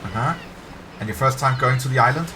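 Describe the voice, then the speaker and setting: A man's voice: medium pitch, fairly quick, lightly acted. A man voicing a talkative, uneasy young woman archaeologist in a story read aloud. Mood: uneasy, confiding. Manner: talkative, apologetic, hushed confiding. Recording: clear background ambience noise.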